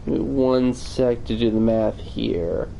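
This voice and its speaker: A man's voice: medium pitch, takes it slowly, gravelly, warm tone, low-quality recording. A man streaming casually, answering viewers with wordy, formal phrasing. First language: English. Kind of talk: casual livestream chat answering viewer questions. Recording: noticeable background noise.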